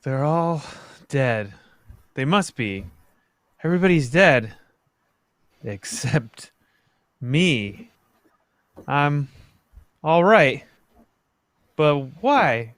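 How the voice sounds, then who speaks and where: A man playing a dazed, frightened survivor of an explosion in a radio play, speaking in halting, broken phrases. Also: on the high side, very animated, fairly bright tone, no room sound, full character voice. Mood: stunned, bleak. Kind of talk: radio play acting at an online table read. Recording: noisy home mic with clicks.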